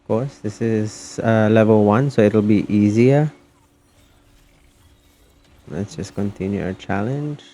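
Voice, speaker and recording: A man giving casual, somewhat halting gameplay commentary as he streams. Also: on the low side, mellow. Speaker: game streamer. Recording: faint background noise.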